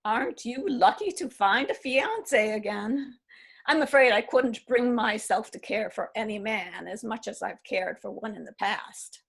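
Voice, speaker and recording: A woman's voice: a little high, lively intonation, gravelly, a bit bright, slightly rough, full character voice. A woman reading Mrs. Blanchard, wistful and heartbroken. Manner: halting, wistful. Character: heartbroken aging woman mourning lost love. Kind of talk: readers theatre play reading.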